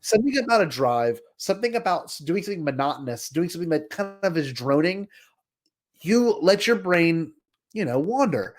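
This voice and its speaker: Effusive man podcaster, slightly high voice. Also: very animated, brisk. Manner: effusive, emphatic. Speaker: podcast co-host. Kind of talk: casual enthusiastic chat.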